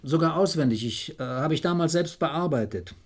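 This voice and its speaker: An actor playing a confident subordinate detective in a German radio crime drama, matter-of-fact and keen to argue. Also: male voice, on the high side, animated, normal speed, slightly rough. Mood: eager, matter-of-fact. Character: eager, confident male police detective, subordinate.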